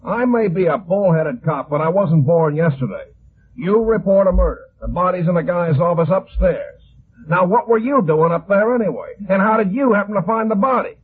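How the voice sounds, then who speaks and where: An actor playing a bullheaded, suspicious police officer in an old-time radio drama, blunt and blustering. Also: male voice, high-pitched, expressive, moderate pace, slightly rough. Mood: stern, suspicious. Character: bullheaded, suspicious male police officer, gruff but loyal. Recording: muffled, phone-like old radio recording.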